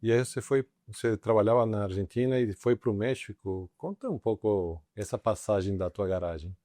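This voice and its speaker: A man hosting an interview, asking short, casual questions with a playful touch. His voice sits low and mellow. The sound is dry and close. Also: normal speed, no room sound. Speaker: interview host.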